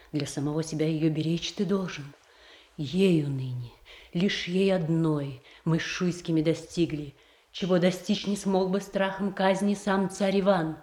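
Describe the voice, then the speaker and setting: A woman's voice: deep voice, dark tone, reverberant. An actress playing a noble, earnest Tsarina who pleads solemnly with her brother in a classical verse radio drama. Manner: solemn, theatrical, imploring delivery. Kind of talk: classical verse tragedy in a Russian radio play.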